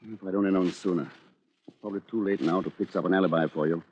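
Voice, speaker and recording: An actor playing a dry, resentful British bachelor lawyer with a measured, controlled manner, in 1940s radio drama. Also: male voice, low voice, steady tone, darkish tone, breathy edge. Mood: shocked, probing. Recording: dull lo-fi old radio recording.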